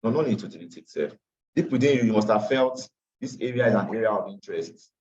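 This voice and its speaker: A man's voice: on the low side, expressive, quick pace, darkish tone, a little hiss or hum. A tired man teaching a live online trading class, repeating phrases and slipping into Pidgin asides. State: tired after a long day. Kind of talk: live online class walking through charts. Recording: distant, dull lo-fi call audio.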